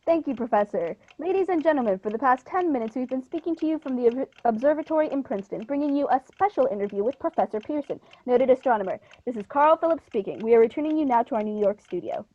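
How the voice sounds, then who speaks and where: A woman's voice: sits high, expressive, fast talker, few pauses, darkish tone, no room sound. A young woman playing an earnest male news reporter in a radio play. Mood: formal, inquisitive. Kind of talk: scripted radio play acting. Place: living room, video call. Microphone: earphones with inline mic. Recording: dull lo-fi video call audio.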